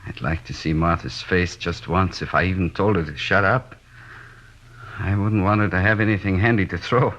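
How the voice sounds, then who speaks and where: An actor in a 1950s radio drama playing a henpecked, weary middle-aged American plasterer who rambles plaintively and hesitantly. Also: male voice, deep voice, fairly even intonation, normal speed, a bit bright. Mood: doubtful, resigned. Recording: dull, band-limited old radio recording.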